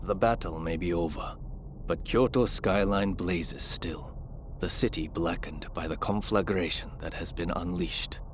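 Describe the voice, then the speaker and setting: An actor narrating a video game as a grave chronicler of war history, in a dramatic scripted style. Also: male voice, low voice, a little slow. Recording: noisy ambience behind the voice.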